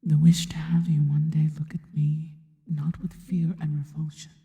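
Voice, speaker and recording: A woman voicing a devoted, worshipful spider monster girl in a soft, breathy, intimate ASMR whisper, speaking slowly and reverently. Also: low-pitched, little pitch movement, close to an everyday voice. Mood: reverent, wistful. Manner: slow, deliberate, reverent.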